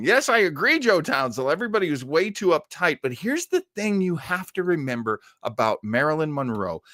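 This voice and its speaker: A middle-aged man hosting a show with casual, off-the-cuff commentary and halting, conversational asides. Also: medium pitch, a bit bright, no echo, studio quality. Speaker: show host.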